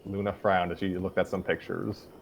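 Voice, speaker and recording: A man's voice: low-pitched, little pitch movement, normal speed, vocal fry, slight background noise. A man narrating a story aloud informally, as the reader of a casual group session.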